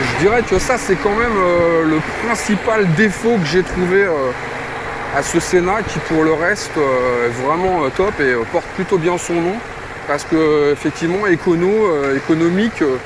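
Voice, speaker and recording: A man's voice: a little high, moderate pace, background noise. A man giving a casual product review in a colloquial, hesitant way, as if thinking aloud. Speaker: motorcycle gear reviewer.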